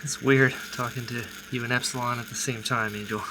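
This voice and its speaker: A man's voice: medium pitch, fairly even intonation, fairly quick, very noisy, close to an everyday voice. A man voicing a hesitant young rebel doctor who speaks with many fillers. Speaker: doctor Nero.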